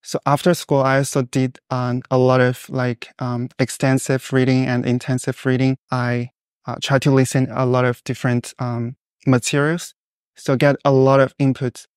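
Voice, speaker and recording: Young guy, smooth clean voice, pretty flat delivery, lots of uhs, dry close sound. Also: mid-range voice, no echo. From China. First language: Mandarin Chinese. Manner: thoughtful, many fillers and pauses. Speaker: guest. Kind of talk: casual interview answers.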